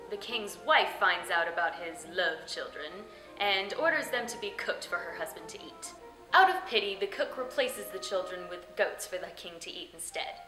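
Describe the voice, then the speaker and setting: A woman narrates as a comic storyteller, bright and theatrical, with a knowing, tongue-in-cheek delivery. The room adds clear echo, and faint music plays underneath. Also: mid-range voice. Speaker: host and storyteller.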